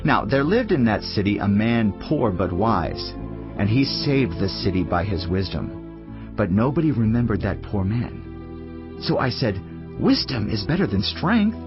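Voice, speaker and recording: A man playing a weary, reflective teacher of wisdom in a dramatised audio Bible, husky-voiced, with a measured, earnest, declamatory delivery. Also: on the low side, very animated, highs cut off. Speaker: scripture narrator. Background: music.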